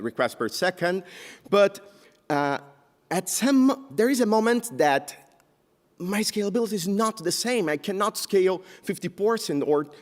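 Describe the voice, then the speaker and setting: A man from Brazil with a Brazilian Portuguese accent presents a technical talk on a conference stage. He speaks in a slightly high voice, stops often on filler sounds, and jumps up in pitch to stress words. The sound carries the long echo of a large hall.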